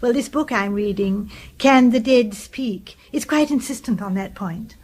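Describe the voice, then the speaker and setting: An actress playing an earnest, gullible British spinster nurse-companion in a radio drama, pious and gushing in manner. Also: female voice, fairly high pitch, a little slow, a little mellow. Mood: earnest, devout.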